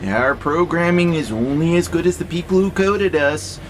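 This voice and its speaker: A man's voice: on the high side, animated, moderate pace, a little hiss or hum, close to an everyday voice. A man acting in an audio drama as a wry pacifist warehouse robot, speaking in a dry, skeptical, laid-back way. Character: wry, easygoing pacifist male warehouse robot who loves nature.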